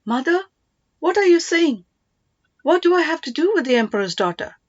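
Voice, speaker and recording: A woman's voice: very high voice, sing-song, normal speed, highs cut off, voice pushed up. A woman voicing an earnest young king in a storybook, with an emphatic, questioning manner. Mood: bewildered, protesting.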